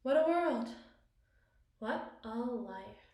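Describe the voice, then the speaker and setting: A woman playing a battle-scarred, commanding evil queen in an intimate ASMR roleplay, speaking formally and wistfully with deliberate, theatrical pauses. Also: on the high side, animated, a little mellow. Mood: bitter. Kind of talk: ASMR roleplay, slow dramatic monologue. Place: small bathroom, indoors. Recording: echoey tiled bathroom.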